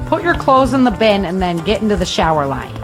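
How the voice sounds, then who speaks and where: Woman as a practical mother, very animated pitch. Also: fairly quick, music in the background, natural voice. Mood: brisk, firm. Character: protective, practical, firm mother. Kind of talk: audio drama acting.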